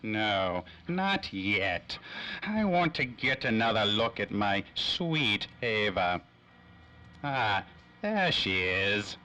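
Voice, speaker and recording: An actor playing a sneering, taunting old crime-boss tycoon in an old-time radio play. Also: male voice, on the low side, sing-song, pushed and bright, exaggerated voice. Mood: gloating. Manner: slow, taunting. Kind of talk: 1930s old-time radio drama acting.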